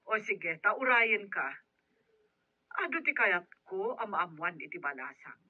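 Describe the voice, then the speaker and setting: A woman's voice: medium pitch, animated, measured pace, slightly rough. A woman playing a sympathetic, concerned helper on the telephone in a radio drama. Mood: concerned, confiding. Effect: telephone filter. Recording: thin, dull telephone sound.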